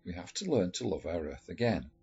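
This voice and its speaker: A man reading a letter story aloud in a measured, expressive storytelling manner. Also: low voice, vocal fry, slightly rough. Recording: dull lo-fi recording with music behind. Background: background music.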